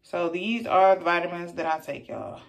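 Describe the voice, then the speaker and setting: A woman in her thirties chatting casually to camera in a vlog from a small bedroom, relaxed and talkative. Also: fairly low pitch, normal speed, gravelly. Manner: relaxed, chatty, uses lots of "y'all". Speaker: vlogger.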